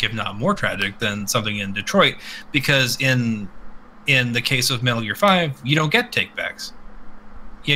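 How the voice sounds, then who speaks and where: A man with a very bright, sharp, forceful voice chats on a podcast. He talks bluntly, swears freely and rambles from point to point, with faint noise behind him. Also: medium pitch, rough recording. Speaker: podcast host. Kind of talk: casual podcast chat. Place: live multistreamed podcast.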